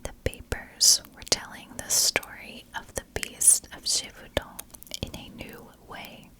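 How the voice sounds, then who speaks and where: Slow whispering woman, ASMR storyteller. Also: poor audio. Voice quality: soft unvoiced whisper. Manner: slow, deliberate, broken into short phrases. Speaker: ASMR storyteller, channel host. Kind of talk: whispered ASMR narration of a historical mystery.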